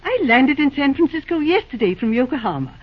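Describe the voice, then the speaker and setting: A woman's voice: high voice, very animated, a little fast. A woman playing a genteel, eccentric middle-aged mother in an old-time radio drama, airy and self-assured, with an affectionate, patronizing manner. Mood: breezy, gracious. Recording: dull, band-limited old radio recording.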